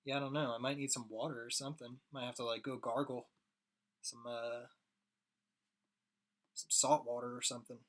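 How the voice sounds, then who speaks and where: A hoarse young man rambling casually to his viewers while he draws on a live stream. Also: medium pitch, level tone, normal speed, pauses often. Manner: rambling, chatty, talks to chat viewers. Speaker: streaming artist.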